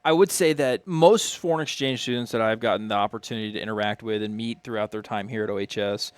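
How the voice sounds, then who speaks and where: A man's voice: fairly low pitch, quick pace, clean tone, no room sound. A man hosting an interview for a school TV studio, asking guests questions. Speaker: interviewer and host. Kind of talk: student TV interview.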